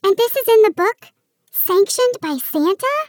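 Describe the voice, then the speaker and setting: A woman voicing an excitable young female Christmas elf who talks in a high, squeaky, incredulous way. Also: normal speed, no echo, studio quality.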